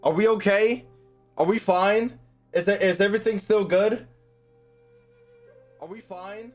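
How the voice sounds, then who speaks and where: A young man streaming a game with animated, slangy, profane casual commentary, often repeating his phrases. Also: high voice, measured pace, soft background music. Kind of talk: casual live gaming commentary.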